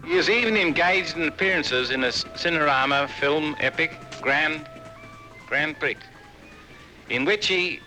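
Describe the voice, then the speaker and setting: Piercingly bright, high-pitched male commentator, slow. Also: faint music behind, poor audio.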